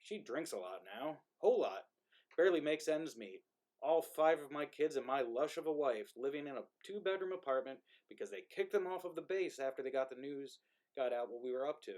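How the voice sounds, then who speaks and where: A man's voice: fairly high pitch, expressive, a little fast, a little mellow, natural voice, rough recording. A man playing a dead soldier's ghost in a play reading, wry and resentful, with a conversational, needling delivery. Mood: sardonic. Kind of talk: play reading, modern drama.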